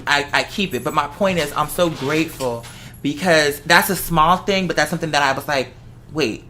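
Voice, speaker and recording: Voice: medium pitch, normal speed, bright, forceful tone, slight background noise. A host talking casually and from the heart, emphatic and given to repeating phrases for effect. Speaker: host of the show; artist who makes videos and music.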